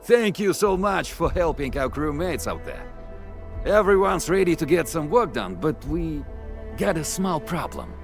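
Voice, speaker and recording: A man's voice: fairly high pitch, big pitch swings, measured pace, vocal fry, soft background music, exaggerated voice. A man voicing a friendly work-crew foreman in scripted video game dialogue. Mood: grateful, slightly worried.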